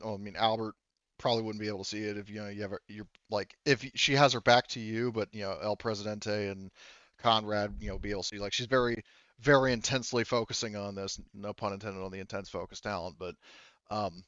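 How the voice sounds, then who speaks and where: A man running a tabletop roleplaying game as game master, improvising narration and explaining rules in a halting way, thinking aloud. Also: on the low side, a bit bright, clean tone, dry recording, lo-fi sound.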